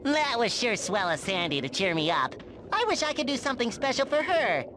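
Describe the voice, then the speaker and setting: A man's voice: very high-pitched, very animated, brisk. A man voicing a naive, eager sea sponge in a cartoon video game, earnest, childlike and exaggerated. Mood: cheerful musing. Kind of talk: animated video game voice acting. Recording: noticeable background noise.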